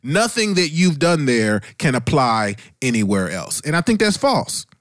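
A man's voice: medium pitch, big pitch swings, unhurried, fairly bright tone, no room sound. Casual male radio host, dry close sound. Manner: informal, slangy, chummy address. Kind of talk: casual conversational interview.

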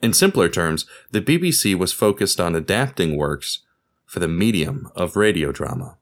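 Low-voiced man hosting with lively intonation. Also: normal speed. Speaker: podcast host. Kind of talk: scripted narration. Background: music.

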